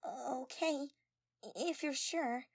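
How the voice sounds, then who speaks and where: Woman playing shy sleepy teen witch girl, high voice, hesitant, super dry audio story recording. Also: drawn-out words, no room sound, heavily acted. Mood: quiet, hesitant. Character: small, shy, sleepy teenage girl who believes she's a mage.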